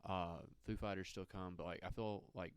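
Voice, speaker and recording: A man from Mississippi co-hosting a podcast, chatting casually in a relaxed, rambling way and laughing often. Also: low-pitched, normal speed, smooth, dry recording. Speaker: podcast co-host. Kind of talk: casual chat.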